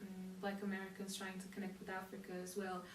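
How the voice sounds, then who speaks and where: A woman's voice: mid-range voice, monotone, fast, soft background music. A woman from Portugal hosting a casual interview, wandering through her thoughts with many fillers and asides. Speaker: host. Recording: roomy echo, distant mic, faint hiss.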